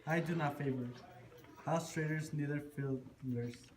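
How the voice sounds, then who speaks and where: A man's voice: a little high, steady tone, unhurried, a little mellow, close to an everyday voice. A man playing a wily, freeloading traveler who speaks in rhyme in an amateur puppet show. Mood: self-assured. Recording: roomy live show with audience noise.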